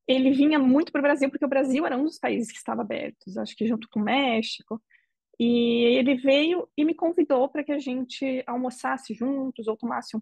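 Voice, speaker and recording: A Brazilian woman interviewee telling her personal story in a measured, reflective way, often ending phrases with a questioning tag. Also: on the high side, gravelly, a little mellow, slightly rough, dry recording. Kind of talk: emotional personal testimony.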